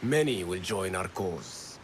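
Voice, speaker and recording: An actor playing a proud, ruthless rebel leader in a video game, commanding and defiant. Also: male voice, sits low, animated, slow pace, a little hiss or hum. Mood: commanding, resolute. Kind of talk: video game cutscene acting.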